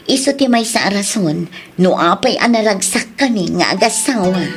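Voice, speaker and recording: A woman's voice: medium pitch, animated, moderate pace, music in the background, natural voice. An actress with an Ilocano accent playing a devoted, grateful young wife and mother in a radio drama, in everyday dramatic speech. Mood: happy, grateful.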